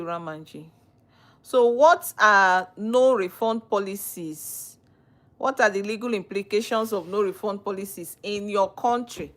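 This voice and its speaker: A woman's voice: mid-range voice, bright, forceful tone. A woman with a Nigerian accent, a legal practitioner hosting a live stream, reading out viewer comments and questioning them in a teasing way. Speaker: livestream host, legal practitioner.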